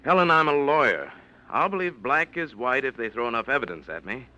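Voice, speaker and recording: An actor playing a calm, skeptical male lawyer in 1940s radio acting, measured and reasonable. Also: medium pitch. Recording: dull old radio broadcast with faint hiss.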